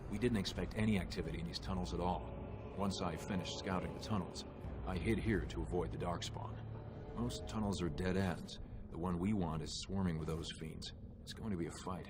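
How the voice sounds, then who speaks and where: Man as wary, deep-voiced dwarven scout. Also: steady tone, a little fast, loud music behind the voice, lightly acted. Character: wary dwarven scout reporting to his commander. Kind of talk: video game voice acting.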